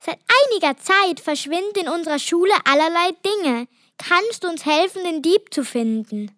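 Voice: extremely high pitch, lively intonation, normal speed, fairly bright tone, dry recording, studio quality. A child playing schoolgirl and headmistress parts in a children's audio play, in an exclamatory manner. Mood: worried.

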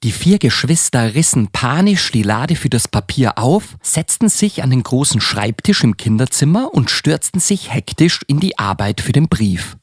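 A school-age child narrates a Christmas radio play for children as a scripted storybook. The voice moves in lively swings of pitch with a slightly husky, rough edge, recorded close and dry. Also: moderate pace, hardly any pauses, dry recording. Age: child, about ten.

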